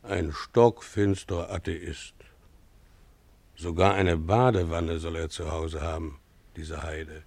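A man's voice: sits low, steady tone, takes it slowly, gravelly, a little mellow, dry recording, exaggerated voice. A man playing a resentful, pious, poor donkey driver in a German radio play, grumbling with sanctimonious grievance. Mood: resentful, disdainful. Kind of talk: German radio play, character monologue and dialogue.

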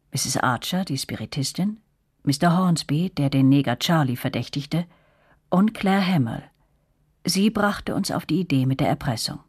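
A woman playing a young narrator in a radio play, recalling events in a measured, reflective way. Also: very deep voice, darkish tone, husky, no echo, studio quality, heavily acted. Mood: pondering.

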